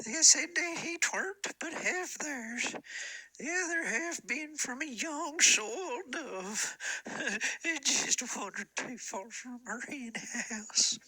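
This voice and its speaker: A man's voice: very high voice, lively intonation, slow, bright, forceful tone, husky, exaggerated voice, low-quality recording. A man voicing a rambling old frontier codger who narrates in an old-time radio western, with a wheezy, cackling drawl and a folksy, stumbling way of talking. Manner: rambling and folksy, trips over his words.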